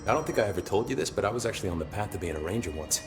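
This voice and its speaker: A man's voice: lowish voice, expressive, rapid-fire, over music. An actor playing a plain-spoken, casual security man in a video game. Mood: casual, worried. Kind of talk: naturalistic video game acting, casual chat.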